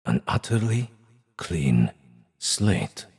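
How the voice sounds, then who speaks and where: A man playing a centuries-old enslaved demon, grave, proud and menacing, with a husky, rumbling voice and formal, weighty phrasing. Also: big pitch swings, extremely slow, no room sound, studio quality, full character voice. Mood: stunned, brooding.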